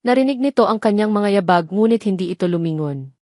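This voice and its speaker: A woman's voice: medium pitch, expressive, brisk, mellow, no room sound. Woman narrating a dramatic story, dry sound.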